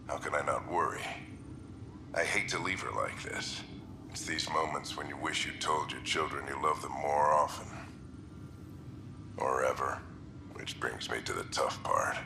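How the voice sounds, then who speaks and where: An actor playing a weary, gruff middle-aged explorer father, speaking gravely and reflectively into his personal log for a video game. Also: noisy background. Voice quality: gravelly, low.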